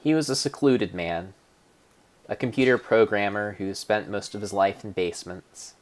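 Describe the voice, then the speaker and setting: A man with a fairly low voice narrates like a storyteller reading an adventure tale aloud. His delivery is deliberate and theatrical, with a mock-solemn weight on the phrases. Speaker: narrator of a game playthrough. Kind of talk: dramatic storybook-style narration.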